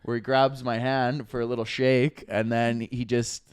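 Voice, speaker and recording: A Canadian man co-hosts a podcast and tells stories in a loose, rambling way, swearing freely. His voice is smooth and resonant at a middle pitch. It is recorded dry and close, with no room sound. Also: little pitch movement, normal speed, dry recording. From Canada, Alberta. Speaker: podcast co-host. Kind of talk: casual storytelling chat.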